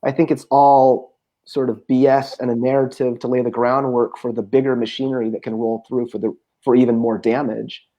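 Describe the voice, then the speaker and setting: A man, a poet being interviewed, speaking thoughtfully over a video call with frequent pauses and filler sounds. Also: mid-range voice, flat delivery, warm tone, poor audio. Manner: thoughtful, hesitant with many pauses. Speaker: poet, guest. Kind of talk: casual conversation. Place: home room.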